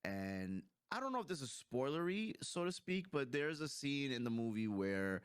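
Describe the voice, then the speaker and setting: A man's voice: mid-range voice, very animated, normal speed, clean tone, no echo, clean studio sound. A man giving his opinions as a guest in a casual movie review chat, explaining his points as he goes.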